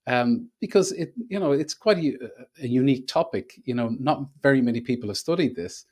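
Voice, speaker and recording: A man hosting a podcast interview, speaking in a halting way with many filler sounds. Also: medium pitch, lively intonation, a little mellow. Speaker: podcast host.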